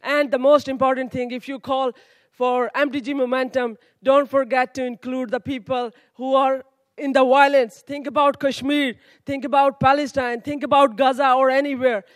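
A man's voice: very high-pitched, little pitch movement, moderate pace, no room sound. A man in the audience making an earnest, pleading comment with some hesitations. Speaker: audience member. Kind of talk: impromptu comment from the floor.

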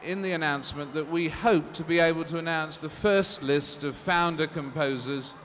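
A man's voice: on the high side, moderate pace, a bit bright. A man moderating a press conference, speaking formally and measuredly, with frequent restarts and fillers. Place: large assembly hall. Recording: reverberant hall, faint noise.

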